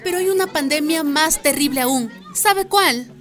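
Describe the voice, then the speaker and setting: A woman's voice: sits high, normal speed, a bit bright, faint music behind, some chatter behind. A woman playing a blunt, outspoken neighborhood mother in a radio drama, emphatic and decisive. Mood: grave.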